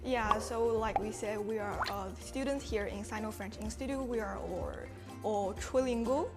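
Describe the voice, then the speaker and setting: A woman's voice: on the high side, moderate pace, over music. A young woman from China whose first language is Chinese, answering casually as a student guest with many fillers. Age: young adult. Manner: relaxed, uses filler words. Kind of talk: casual talk show answers.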